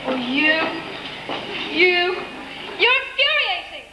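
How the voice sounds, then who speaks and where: A woman's voice: extremely high pitch, sing-song, takes it slowly, exaggerated voice. A woman playing a spoiled, hot-tempered young princess in a stage pantomime. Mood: haughty outrage. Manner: imperious, easily exasperated. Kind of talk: amateur stage pantomime acting. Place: village hall stage, live performance. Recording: echoey noisy hall stage.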